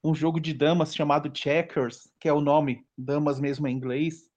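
A man's voice: fairly high pitch, level tone, moderate pace, a little mellow. A man in his late thirties from Brazil, chatting casually on a podcast and rambling through a nostalgic list of old games. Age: 30s. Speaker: podcast participant. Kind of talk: casual chat.

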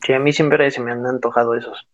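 Mexican man, mid-pitched, relaxed slangy co-host; muffled lo-fi recording. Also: fast. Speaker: podcast co-host. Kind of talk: casual chat about childhood cartoons.

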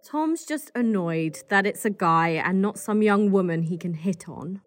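A woman's voice: mid-range voice, expressive, unhurried, close to an everyday voice. A British woman playing a cynical, irritable political staffer in an audio comedy, blunt and scathing. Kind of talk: audio comedy drama acting.

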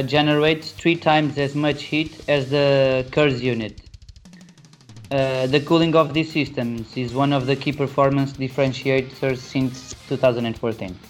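Portuguese-accented man reading notes aloud. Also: mid-range voice. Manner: careful, deliberate reading with hesitations. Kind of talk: reading a prepared technical explanation.

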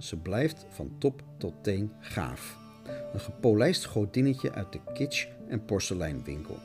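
A man reads a scripted radio essay as a theatrical narrator. His pitch is very animated and his delivery is declamatory and mock-dramatic. Music plays behind him.

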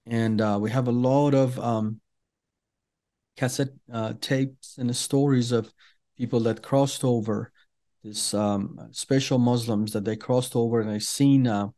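An earnest middle-aged man from Iran, a native Farsi speaker, telling his own story as an interview guest. His voice is mellow and warm, set at an ordinary pitch for a man. He speaks deliberately, with frequent fillers and restarts. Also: fairly even intonation. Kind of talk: personal testimony in an interview.

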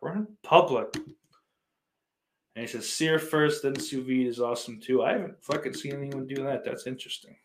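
A man's voice: mid-range voice, moderate pace, slight background noise. A man livestreaming in casual chat, rambling and swearing freely while reading out messages from his viewers. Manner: profane, rambling, self-deprecating. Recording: roomy echo.

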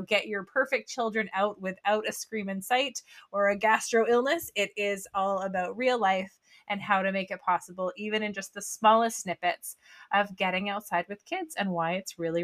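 A woman's voice: medium pitch, moderate pace, fairly bright tone. A woman hosting a podcast, with an upbeat, chatty manner, laughing often. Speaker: podcast host. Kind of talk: casual podcast interview.

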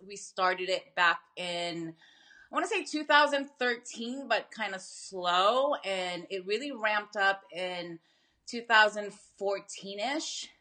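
A woman's voice: medium pitch, sharp tone. A woman in her forties from the Philippines, giving casual answers as an interview guest. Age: 40s. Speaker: podcast guest, bikini athlete and coach.

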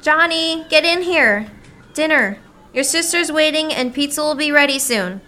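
High-voiced harried suburban mom. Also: female voice, expressive, moderate pace, sharp tone. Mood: bossy, prying. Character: harried suburban mother, wry and chatty. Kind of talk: radio play acting.

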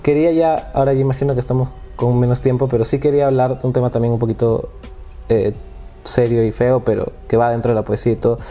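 Mellow-voiced man chatting hesitantly. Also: medium pitch, flat delivery, quick pace, soft background music. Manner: hesitant, many restarts and "¿no?" tags. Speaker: host. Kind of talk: casual conversation about poetry.

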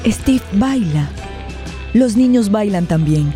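A woman's voice: mid-range voice, lively intonation, slow. A woman narrating a scripted radio play in a dramatic style. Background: faint guitar music.

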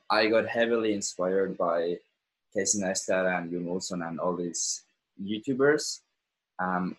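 A man's voice: on the low side, low-quality recording. An eighteen-year-old man from Finland, a podcast guest talking casually with lots of ums and hesitations. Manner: relaxed, many ums and hesitations. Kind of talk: casual interview chat.

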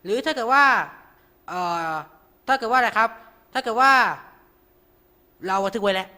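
A man's voice: very high voice, moderate pace, pushed and bright, smooth. A man hosting an informal tutorial, talking through each step with frequent polite particles. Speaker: radio show host.

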